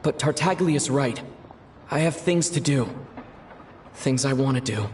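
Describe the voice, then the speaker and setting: An actor playing a thoughtful, determined young adventurer in a video game, musing to himself in a measured way. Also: male voice, medium pitch, a little mellow, a little hiss or hum, close to an everyday voice. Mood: pensive, resolved.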